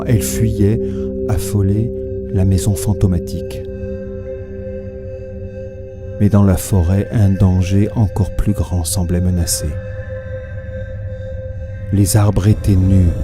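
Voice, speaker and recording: Low, brooding haunted male narrator. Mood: intense dread. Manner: solemn, measured, literary delivery. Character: haunted, brooding man recalling an uncanny old house. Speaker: narrator of a novel reading. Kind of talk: dramatised literary reading with sound design.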